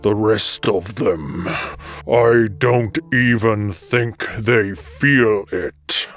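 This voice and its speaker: Man as harsh discordant dragon, low, extremely slow, disdainful, fantasy audio drama, faint music. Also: fairly bright tone, exaggerated voice. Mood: scornful. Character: weary, scornful male dragon on the council.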